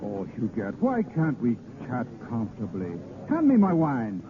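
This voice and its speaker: An actor in a 1930s radio drama playing a carefree, teasing young vagabond poet of medieval Paris. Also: male voice, medium pitch, wildly swooping pitch, unhurried. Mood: flippant, coaxing. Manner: teasing, flippant. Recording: tinny 1930s radio broadcast, crowd noise.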